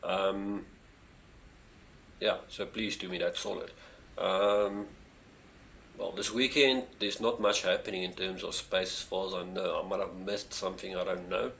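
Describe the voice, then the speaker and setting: A lowish-voiced South African man whose first language is Afrikaans rambles through a casual live stream. He leans on "uh" and "um" and keeps coaxing his viewers. A faint hum of noise sits under the recording. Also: fairly even intonation, a little slow, vocal fry, fairly bright tone. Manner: rambling, many fillers, eager pleas to viewers. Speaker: space channel streamer. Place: home near a street.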